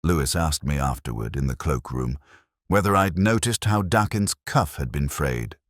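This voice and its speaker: Male narrator for literary detective fiction: extremely low pitch, expressive range, measured and brooding, close dry sound. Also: dry recording. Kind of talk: scripted first-person detective story narration.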